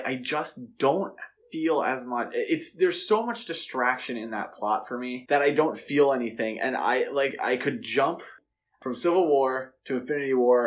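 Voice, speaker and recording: Guy on a movie podcast, mid pitched voice, just chatting and giving opinions. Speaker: podcast co-host. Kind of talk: casual film discussion.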